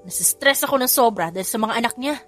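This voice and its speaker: A woman storyteller takes on a drained young secretary complaining about work. The delivery is weary and grumbling, fairly high in pitch, with faint music behind. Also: animated, quick pace, lightly acted.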